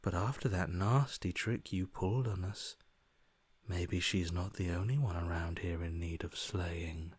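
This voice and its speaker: A man voicing a cold, bored, callous inner voice in a video game, hushed and breathy, with deadpan, dismissive sarcasm. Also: low-pitched, measured pace, dry recording, clean studio sound. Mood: cold menace. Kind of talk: video game character acting.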